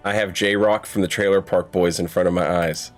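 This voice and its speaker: A man's voice: low-pitched, steady tone, moderate pace, faint music behind. A man chatting casually and profanely as he hosts a livestream of a game playthrough. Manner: profane, conversational. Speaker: game streamer and host.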